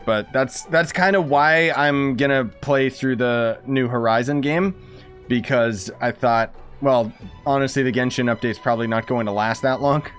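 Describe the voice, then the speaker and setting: Young male streamer, average pitch, offhand chatty commentary, slangy, soft game music behind him. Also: moderate pace. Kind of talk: casual live gameplay commentary.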